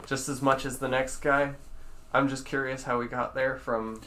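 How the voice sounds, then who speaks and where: A man's voice: mid-range voice, little pitch movement, moderate pace, sharp tone. A young man co-hosting a podcast, laid-back and curious, asking questions with casual swearing. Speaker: podcast co-host.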